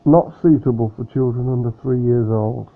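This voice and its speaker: A man chatting casually while unboxing a model aircraft, speaking softly with lots of fillers and sounding slightly nasal from a cold. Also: mid-range voice, moderate pace. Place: room at home with a fan running. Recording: tinny phone-like sound with faint fan hum.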